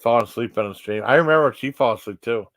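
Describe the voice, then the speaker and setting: A man hosting a casual live stream, talking in a halting, repetitive, rambling way that often trails off. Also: medium pitch, fairly bright tone, no echo.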